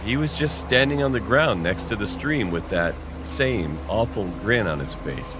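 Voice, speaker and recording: A man's voice: on the low side, animated, moderate pace, background noise. A man narrating a scripted first-person horror story.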